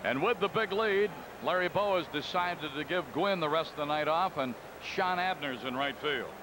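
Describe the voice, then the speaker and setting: Play-by-play baseball announcer, man, high voice, even and chatty, crowd noise loud behind. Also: normal speed. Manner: calm, conversational, reading stats. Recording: broadcast booth over loud crowd noise. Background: stadium crowd ambience.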